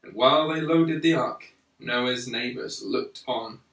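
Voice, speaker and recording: Slow-paced man reading aloud, bright and echoey. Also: medium pitch, creaky. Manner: measured and deliberate, with pauses between phrases. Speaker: storyteller reading a Bible picture book to a young child. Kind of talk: read-aloud children's storytelling. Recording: roomy, echoey, slightly distant microphone.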